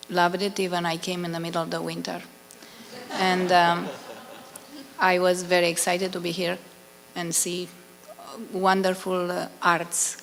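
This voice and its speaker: A middle-aged Romanian woman speaks up at a public meeting, earnest and effusive, stopping now and then to search for words. Her voice has a gravelly fry and stays flat in pitch. The sound carries a long hall reverb with a faint hum behind it. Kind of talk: public comment at a consultation meeting.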